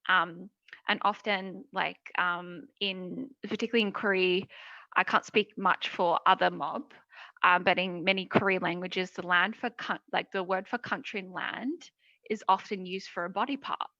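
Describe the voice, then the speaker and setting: A woman's voice: fairly low pitch, steady tone, moderate pace, bright, forceful tone, dry recording. A woman speaking on a panel in a rambling, casual way with many fillers. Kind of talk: panel discussion. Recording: dry, dull lo-fi sound.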